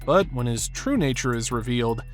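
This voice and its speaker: A man's voice: mid-range voice, lively intonation, brisk, faint music behind. A man narrating a scripted film analysis in an emphatic, opinionated manner. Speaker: video essay narrator.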